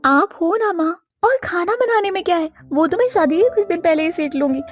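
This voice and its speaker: Woman playing a high, girlish, eager young bride. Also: animated, brisk, soft background music. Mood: carefree, coaxing. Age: young adult. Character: naive, eager young daughter-in-law and carefree daughter. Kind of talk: Hindi moral story cartoon dialogue.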